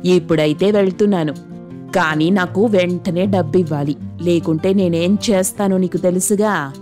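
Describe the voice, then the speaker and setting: A woman's voice: on the low side, moderate pace, soft background music, lightly acted. A woman playing a greedy, nagging middle-aged mother-in-law who scolds and demands in a cartoon moral story. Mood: threatening. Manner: scolding, demanding, sharp-tongued. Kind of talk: dramatic Telugu moral story cartoon dialogue.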